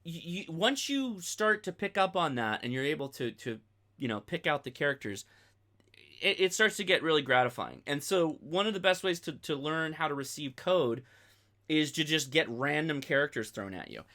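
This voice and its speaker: Casual male host, thirties, crisp bright tone, informal off-the-cuff tutorial delivery that rambles. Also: on the high side, big pitch swings, normal speed. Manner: rambling, informal, thinking aloud. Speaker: host of a ham radio channel.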